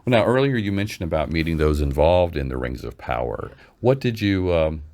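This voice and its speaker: A man with an extremely deep voice hosts a casual interview. His pitch moves in very lively swings as he puts his questions in short, paused phrases. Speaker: interviewer, host.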